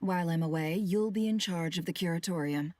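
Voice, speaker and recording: A shrewd businesswoman from a fantasy game, voiced by an actress. Her voice sits on the low side and stays composed. She sounds sly and sardonic, always in control. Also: a little fast, a little mellow, natural voice. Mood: composed, dry. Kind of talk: video game voice acting.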